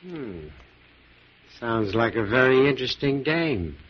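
Husky, slangy underworld moneylender. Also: male voice, mid-range voice, very animated, takes it slowly, exaggerated voice. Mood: dealing. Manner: blunt, slangy, hard-bargaining. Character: tough, wary underworld moneylender with slangy talk. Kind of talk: 1940s radio drama acting. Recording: muffled old 1940s radio recording.

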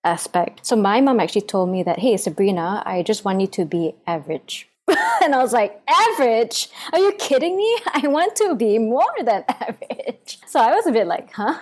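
A woman's voice: medium pitch, a little mellow. Chatty, laughing woman from Singapore. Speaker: podcast guest. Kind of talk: casual storytelling interview.

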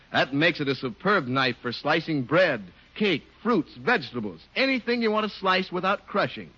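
A man's voice: on the high side, bright, forceful tone. An American man announcing in 1950s radio advertising style, with emphatic, polished salesmanship. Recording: muffled vintage radio recording.

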